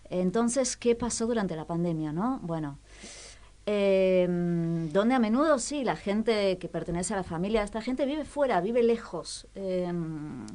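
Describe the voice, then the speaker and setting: A woman's voice: mid-range voice, expressive, unhurried, mellow. A woman with a Rioplatense Argentine accent presenting on a radio show in a casual, explanatory way, often checking in with listeners. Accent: Rioplatense Argentine Spanish.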